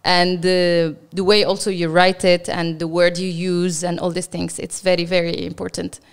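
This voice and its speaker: A woman from Morocco, a journalist and TV presenter whose first language is Arabic, telling her story as an interview guest with lively acted-out quotes. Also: on the low side, normal speed, clear, resonant tone. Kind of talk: casual interview chat.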